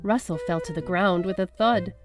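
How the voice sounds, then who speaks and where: A woman's voice: on the low side, normal speed. Woman reading children's picture books aloud over music. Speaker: storybook reader. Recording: music under the voice. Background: background music.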